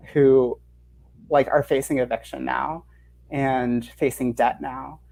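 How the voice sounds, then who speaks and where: A panelist with a creaky, husky voice at a middle pitch. They reflect aloud and pose questions to the group. They often drop in "like" as a filler. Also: a little mellow, poor audio.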